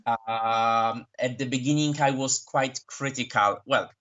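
A man from Poland with a Polish accent, giving thoughtful interview answers as a guest, pausing often and correcting himself. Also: mid-range voice, steady tone, a bit bright. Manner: thoughtful, frequent pauses and self-corrections. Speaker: interview guest who works with migrants. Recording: dull lo-fi recording.

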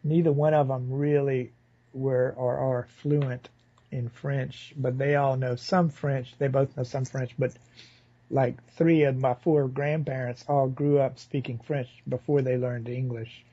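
An American man from southern Louisiana, speaking as an interviewee in a casual, halting, rambling and informal way. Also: mid-range voice, little pitch movement, mellow. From southern Louisiana, United States. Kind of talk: casual spoken recollection. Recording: slightly dull, lo-fi interview recording.

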